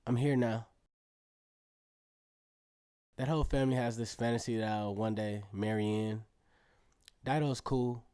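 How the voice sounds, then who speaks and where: A man's voice: fairly low pitch, warm tone, clear, resonant tone, no room sound, studio quality, natural voice. An actor playing an earnest teenage acrobat who narrates his story in a reflective, conversational way in a radio drama. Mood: wry, reflective. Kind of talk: first-person narration in an Afrofuturist radio drama.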